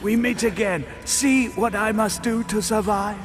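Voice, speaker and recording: Dark wizard, sinister, slow, theatrical, high-pitched, video game villain. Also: male voice, fairly even intonation. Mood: menacing. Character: sinister bodiless dark wizard, menacing and desperate. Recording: music behind the voice.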